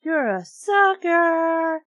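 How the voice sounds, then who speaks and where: A woman's voice: very high-pitched, big pitch swings, very slow, a bit bright, no room sound, muffled recording, pitched above the performer's own voice, full character voice. A woman voicing a bratty prankster boy from a storybook, mocking and exclaiming in a gleeful, taunting way.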